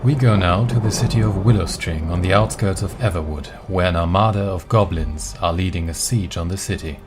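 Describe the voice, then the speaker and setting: A man's voice: low voice, fairly quick. A man narrating a scripted fantasy audio drama in a dramatic storytelling style. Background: prominent music.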